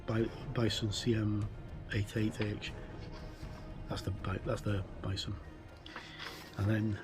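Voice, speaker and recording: Middle-aged man, lowish voice, casual reviewer commentary, hesitant, self-correcting, faint music behind. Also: poor audio. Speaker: game reviewer. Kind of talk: casual book walkthrough commentary.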